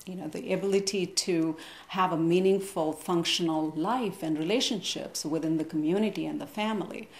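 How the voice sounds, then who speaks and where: A woman from India, a guest on a TV interview, explaining her views in an articulate, measured way. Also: fairly low pitch, roomy sound. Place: TV studio.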